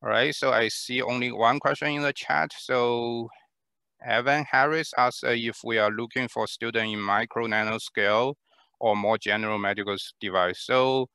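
A man, presenting his research lab in an online talk, halting with many fillers and restarts, on a headset mic in a small room. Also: medium pitch, fairly even intonation, pushed and bright, clean tone, no echo. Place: small room at home, indoors.

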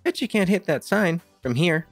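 A woman's voice: on the low side, expressive, normal speed, soft background music. A woman playing a cocky young boy, an older brother, in an audio drama.

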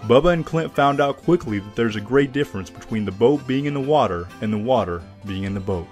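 A man narrates and announces for an audio drama, reading from a script. His pitch is average for a man, with faint music underneath. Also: expressive, a little fast, darkish tone.

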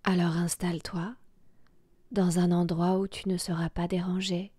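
A woman guiding a relaxation meditation in a soft, breathy, half-whispered voice, hushed and soothing, with long pauses. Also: fairly low pitch, flat delivery, dry recording. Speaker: yoga nidra guide.

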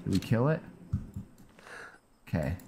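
A man's voice: sits low, big pitch swings, a little slow, vocal fry, noisy background. A man doing casual let's play commentary, thinking aloud with mild exclamations as he plays. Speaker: gamer playing and commentating. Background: game music.

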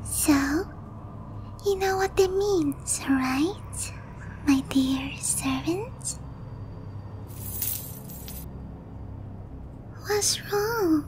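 A woman playing an obsessive young vampire princess in a slow, cooing, breathy half-whisper for an ASMR roleplay, sweetly menacing and possessive. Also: extremely high pitch, expressive, noisy background, full character voice. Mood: coaxing, hungry. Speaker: roleplay voice actress.

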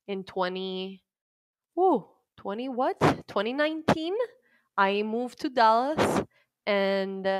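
Slow, reflective woman. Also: medium pitch, clear, resonant tone, no echo. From Puerto Rico. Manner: reflective, soft-spoken, pauses to search for words. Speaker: interview guest. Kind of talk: personal life story.

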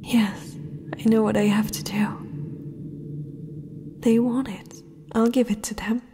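A woman playing a young princess, haughty yet spellbound, in a breathy, hesitant whisper for an ASMR fantasy roleplay. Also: fairly high pitch, little pitch movement, warm tone, exaggerated voice. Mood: entranced, compliant. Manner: slow, hesitant, dreamy delivery.

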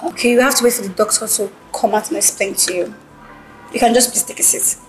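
A woman's voice: medium pitch, quick pace, faint music behind, lightly acted, low-quality recording. A woman with a Nigerian accent acting a curt, exasperated hospital nurse in a screen drama, firm and repetitive. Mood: firm, restrained. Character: curt, exasperated female hospital nurse standing her ground.

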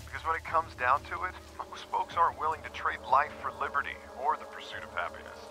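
A man's voice: on the high side, expressive, fast, music in the background, poor audio. A man playing a wry, level-headed American ally in a video game, talking in a reassuring way. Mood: matter-of-fact. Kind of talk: video game character dialogue. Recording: game city ambience and traffic noise.

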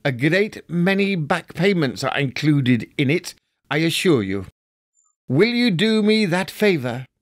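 A man's voice: on the high side, big pitch swings, measured pace, dry recording, studio quality, voice pushed up. A man voicing Scrooge, a joyful old miser. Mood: eager, effusive. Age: older. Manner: eager, effusive, generous. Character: reformed old miser, elderly man, giddy with joy.

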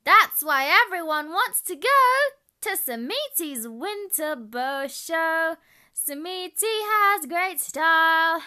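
A woman's voice: extremely high pitch, animated, slow pace, bright, forceful tone. A woman performing fan-made cartoon character lines, half sung and half spoken, in a cutesy way. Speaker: amateur voice actor.

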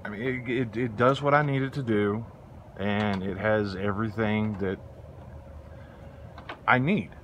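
A middle-aged man hosting a woodworking channel, giving a relaxed, rambling tour of his porch workshop. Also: lowish voice, unhurried, pauses often, a little hiss or hum. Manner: relaxed, rambling, with restarts and fillers. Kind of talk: casual shop tour. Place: outdoor front porch workshop under a canopy.

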